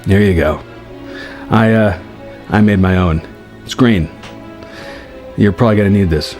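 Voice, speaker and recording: A man's voice: lowish voice, measured pace, a little mellow, soft background music, lightly acted. Man playing Luke, a wry, assured Jedi master. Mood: casual, wry.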